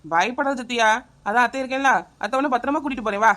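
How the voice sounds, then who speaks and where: A woman playing a brave young village aunt who talks quickly and reassuringly in an amateur audio drama. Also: fairly high pitch, a bit bright, natural voice. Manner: reassuring, brisk, fast-talking.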